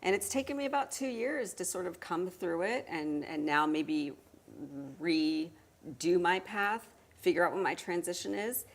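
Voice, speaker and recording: Animated woman talk show host. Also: lowish voice, a little slow. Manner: animated, acts out quotes. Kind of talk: casual talk show chat.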